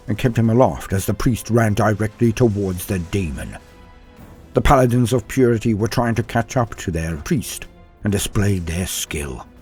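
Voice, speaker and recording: A man reading a scripted fantasy war story as a storyteller, gravely and dramatically, swelling for emphasis and dropping to a hush. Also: fairly low pitch. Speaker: storyteller and narrator of a fan lore channel. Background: music under the voice.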